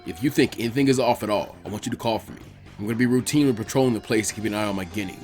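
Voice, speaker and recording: A man voicing a gruff, weary, laid-back ninja mentor in a dramatic story reading, with dry, low-key muttering. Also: racing, a little mellow, soft background music, close to an everyday voice. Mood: businesslike. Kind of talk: dramatic fan fiction character reading.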